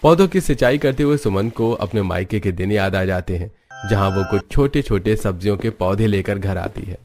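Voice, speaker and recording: A man's voice: on the low side, normal speed, darkish tone, soft background music. A man narrating a scripted cartoon moral story.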